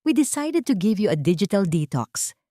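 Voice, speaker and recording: A woman's voice: mid-range voice, very animated, moderate pace, mellow, no echo, voice pushed up, close to an everyday voice. A woman voicing a cold, controlling daughter-in-law who speaks sharply and condescendingly with false sweetness in an audio drama. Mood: falsely sweet.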